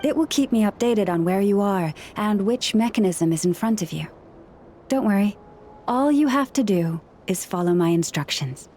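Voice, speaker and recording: A woman voicing a calm, composed young woman who guides others with the help of animal helpers, in a video game cutscene. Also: medium pitch, moderate pace, a little hiss or hum, close to an everyday voice. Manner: calm and reassuring.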